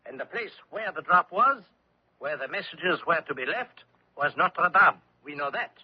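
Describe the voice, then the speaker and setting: An actor playing an officious, accusing German army lieutenant in an old-time radio drama, speaking insistently. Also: male voice, a little high, animated, moderate pace, husky. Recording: dull old radio recording.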